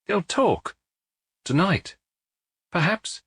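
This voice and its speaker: A man reading an audiobook, voicing a terse, evasive, pipe-smoking detective who is quietly sure of himself. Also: high voice, expressive, very slow, husky, no echo, voice pushed up, full character voice. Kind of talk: audiobook character dialogue.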